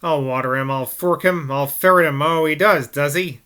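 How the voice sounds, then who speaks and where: A man's voice: fairly high pitch, moderate pace, sharp tone, clear, resonant tone. A man voicing a grumpy old rural man who growls in a thick country dialect. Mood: growling. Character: grumpy old rustic man, ogre-like mutterer.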